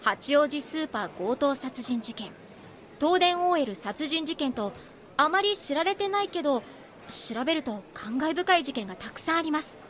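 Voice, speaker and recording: A woman's voice: sits high, lively intonation, normal speed, fairly bright tone, slight background noise. A woman playing an ambitious, insistent young rookie magazine reporter in a Japanese voice drama. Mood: enthusiastic pitching. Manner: earnest, insistent.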